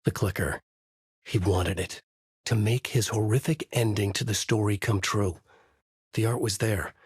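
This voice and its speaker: A man voicing a brooding, haunted crime novelist from a horror video game, narrating in a grave, intense, deliberate noir style with a husky voice. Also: on the low side, fairly even intonation, dry recording, clean studio sound. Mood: ominous, brooding. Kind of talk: noir scripted narration and character lines.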